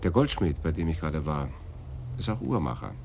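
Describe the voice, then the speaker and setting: A man's voice: extremely low pitch, very animated, fairly quick, creaky, darkish tone, slight background noise, full character voice. An actor playing a thorough police detective who reports his findings in a matter-of-fact way. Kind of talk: radio drama acting.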